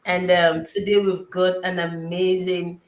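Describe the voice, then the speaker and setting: A woman's voice: on the low side, little pitch movement, measured pace, reverberant. A woman from Nigeria hosting a live online chat over a video call, upbeat and welcoming. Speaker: host.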